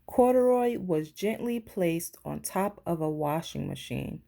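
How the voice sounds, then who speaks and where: A woman narrates a children's storybook in a teacher's read-aloud style. Her voice is lowish and mellow, with a creaky edge. She reads slowly. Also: expressive. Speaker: teacher reading a picture book aloud.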